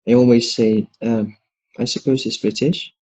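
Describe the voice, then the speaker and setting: A man's voice: medium pitch, flat delivery, moderate pace, darkish tone, breathy edge. A man hosting an English lesson, chatting casually and listing many examples as he agrees with his co-host. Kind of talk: casual conversation. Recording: dull lo-fi audio.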